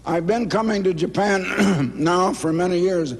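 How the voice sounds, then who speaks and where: A man in his eighties, hoarse, giving a formal speech at a banquet in slow, emphatic phrases. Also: high-pitched, a little hiss or hum. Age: 80s. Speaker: speaker at a banquet. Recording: reverberant banquet hall.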